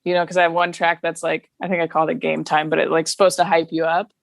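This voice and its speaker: A woman's voice: deep voice, level tone, fast talker, gravelly, dry recording, studio quality. A woman comedian chatting casually as a podcast guest over a video call, laughing often between her points. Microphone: remote video call mic.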